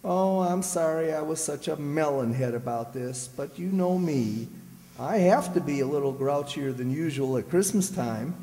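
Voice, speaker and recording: A man playing a grouchy but soft-hearted old curmudgeon husband in a blustering old-time radio comedy style. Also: a little high, moderate pace, lightly acted. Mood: sheepish, soft-hearted. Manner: blustering, grumbling. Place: live stage in a hall. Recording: echoey hall, distant stage sound.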